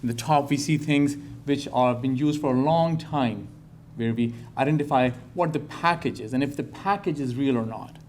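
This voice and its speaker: A man from Pakistan, a professor, giving a public talk in a lecture hall with earnest, slow and emphatic phrasing. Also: medium pitch, darkish tone, a little hiss or hum. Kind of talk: public talk to a live audience.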